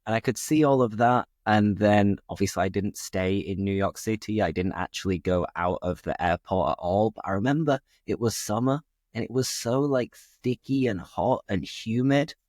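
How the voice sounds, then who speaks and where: A man's voice: fairly low pitch, normal speed, darkish tone, dry recording, clean studio sound. A man with a British accent hosting a casual conversation, asking curious, easygoing questions. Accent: British English. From England. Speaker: podcast host, English teacher.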